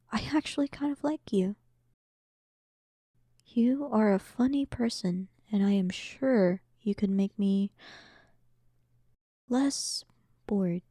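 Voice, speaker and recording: A woman plays a dominant demon queen in an intimate roleplay audio. Her voice is very dark and velvety, with a soft breathy edge, and she takes her time over every phrase. She sounds haughty and bored, teasing her listener with dry, condescending sarcasm, all in a close, dry recording. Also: medium pitch, no echo. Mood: amused, teasing. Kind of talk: scripted roleplay audio, intimate one-sided dialogue.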